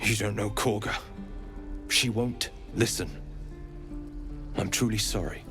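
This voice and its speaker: A man's voice: on the low side, fairly even intonation, takes it slowly, music in the background. An actor playing a male druid who speaks politely and regretfully. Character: apologetic male druid of a grove.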